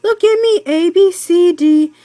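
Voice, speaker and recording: A woman's voice: extremely high pitch, measured pace, dark tone, no room sound, pitched above the performer's own voice. A young female reader voicing a cheerful male talking bird from a storybook, chanting silly rhymes in a sing-song way. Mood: playful sing-song.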